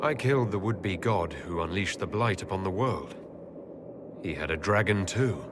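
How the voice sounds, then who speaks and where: An actor playing a resolute, sombre Inquisitor, a plain-spoken reluctant hero in a video game. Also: male voice, low voice, lively intonation, moderate pace, heavy background noise. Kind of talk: dramatic video game character acting.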